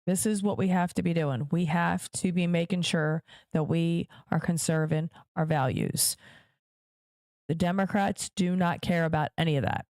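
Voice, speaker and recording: A woman's voice: fairly low pitch, normal speed, a little mellow, dry recording. Emphatic woman hosting a solo podcast. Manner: emphatic, repeats points for stress; direct address to viewers. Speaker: podcast host. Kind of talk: solo political commentary podcast.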